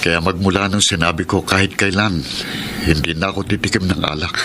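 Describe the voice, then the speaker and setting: Anguished low-voiced betrayed husband, radio soap. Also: male voice, steady tone, fairly quick, background noise. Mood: despairing. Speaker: radio drama actor and letter narrator. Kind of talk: Filipino radio soap acting. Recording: loud music behind radio drama. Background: loud dramatic music.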